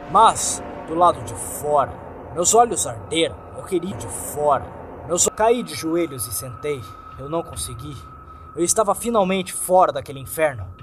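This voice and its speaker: A man's voice: fairly high pitch, a little hiss or hum. A man with a Brazilian Portuguese accent narrating a first-person horror story in a dramatic scripted style. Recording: faint music under the voice. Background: soft background music.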